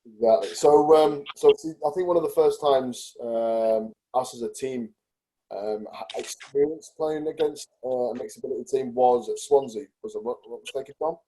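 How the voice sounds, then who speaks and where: A man hosts a podcast, leading the talk with open, engaged questions. His voice is mellow and dark in tone at an ordinary pitch. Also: moderate pace, low-quality recording. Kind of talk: interviewing.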